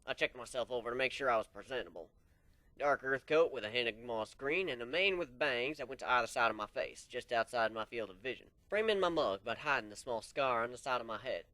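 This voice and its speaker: Brief: male amateur reader, expressive storybook narration, lively pitch. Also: brisk, dry recording, rough recording. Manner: expressive, swinging pitch, hushed in tense parts. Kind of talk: dramatic reading of fan fiction.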